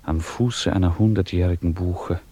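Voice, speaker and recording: A composed storyteller in a classic radio drama. His low, mellow voice gives formal literary narration in a measured way. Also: fairly even intonation.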